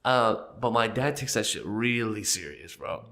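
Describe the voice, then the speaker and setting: A man's voice: lowish voice, expressive, moderate pace, sharp tone. A young man hosting a casual podcast, congested and a little nasal from a cold, joking loosely and coughing now and then. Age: young adult. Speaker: podcast host.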